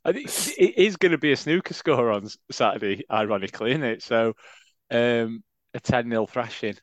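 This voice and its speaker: A man with a Sheffield accent, chatting and laughing at himself as a podcast co-host. His voice sits at a middle pitch and comes through slightly dull and muffled. The recording is dry and close, with no room sound. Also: normal speed, no echo. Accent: Sheffield English. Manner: self-deprecating, laughs often. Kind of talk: casual chat.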